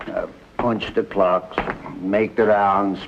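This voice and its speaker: A weary uncle talks in a low voice. He is a night watchman who gives terse, indifferent replies in 1950s television drama style. The soundtrack is dull, with clear noise. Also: fairly even intonation, takes it slowly. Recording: muffled vintage soundtrack with noise.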